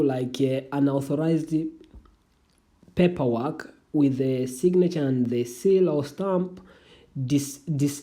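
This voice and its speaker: A young adult speaker whose first language is Swahili, giving a halting, casual walkthrough of an online application, with many restarts and calling viewers "family". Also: mid-range voice, warm tone. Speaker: tutorial vlogger.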